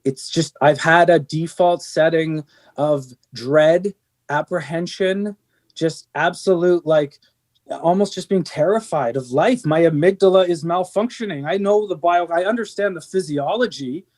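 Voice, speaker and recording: A man's voice: on the high side, a little slow, darkish tone. A middle-aged man, a band's singer, talking earnestly in an interview, rambling with many restarts and fillers. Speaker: band singer. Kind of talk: candid interview conversation.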